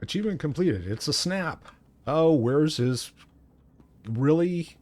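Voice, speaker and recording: A man's voice: a little high, lively intonation, slow, darkish tone. A man chatting casually as he plays a video game as co-host of a livestream. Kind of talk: casual chat while playing a video game.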